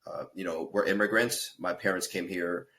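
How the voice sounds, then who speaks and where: Deep-voiced man telling his story. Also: fairly even intonation, normal speed, bright, forceful tone. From Peru. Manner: earnest storytelling with fillers and self-corrections. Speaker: guest, fitness and life coach. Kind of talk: casual podcast interview.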